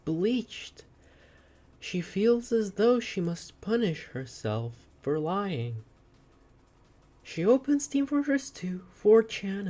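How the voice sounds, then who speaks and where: A woman's voice: fairly low pitch, dark tone. Woman narrating a comic dramatically.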